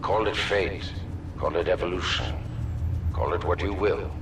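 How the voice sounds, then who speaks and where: Deep, echoing, ruthless villainous commander. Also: male voice. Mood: grandiose. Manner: measured, oratorical, pompous, emphatic pauses. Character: cold, ruthless male military-corporate leader, grandiose villain. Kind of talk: formal villainous speech in video game. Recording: echoey hall, distant mic, music behind.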